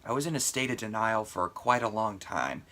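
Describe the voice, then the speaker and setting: A man's voice: on the low side, expressive, a little fast, pushed and bright. A man giving a casual, opinionated movie review in an emphatic conversational way, sounding a little congested with a sore throat. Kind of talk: casual video review commentary.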